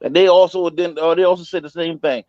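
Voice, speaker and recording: A man's voice: on the high side, steady tone, quick pace, a little mellow, no room sound, lo-fi sound. A man in a casual, argumentative discussion, speaking colloquially and insistently and repeating himself.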